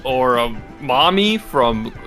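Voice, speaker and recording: Male podcast co-host, playful and teasing, bright forceful tone, lively swings in pitch. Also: slow, soft background music. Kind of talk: casual podcast chat.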